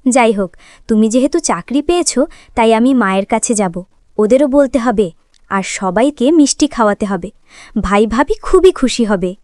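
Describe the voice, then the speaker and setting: Coaxing, easily aggrieved young wife for a moral cartoon, played by a woman; fairly high, crisp, emotive. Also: expressive, normal speed, a little mellow, no echo, clean studio sound, natural voice. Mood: peeved. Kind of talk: cartoon drama dialogue.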